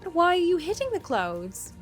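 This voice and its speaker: A woman's voice: very high voice, huge pitch swings, moderate pace, voice pushed up, exaggerated voice. A woman voicing a curious, formally spoken young woman in a visual novel. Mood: curious, weary. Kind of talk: visual novel character reading. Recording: background music.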